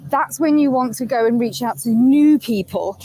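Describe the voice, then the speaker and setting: Woman on a video call from outdoors, instructive, high voice, repeats her key points. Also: moderate pace, warm tone, a little hiss or hum. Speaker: guest speaker. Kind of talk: giving social media tips on a call.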